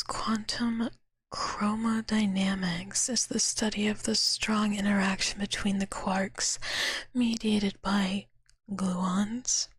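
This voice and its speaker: A young woman whispering softly to her viewers in a drowsy, teasing chat, tired and a bit out of it. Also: mid-range voice, slightly rough, dry recording. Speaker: streamer.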